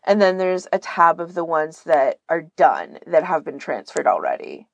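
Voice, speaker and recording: A woman's voice: deep voice, big pitch swings, moderate pace, creaky, no echo, lo-fi sound. A woman explaining things in a casual podcast chat, informally and with plenty of filler words. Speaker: podcast guest and volunteer archivist.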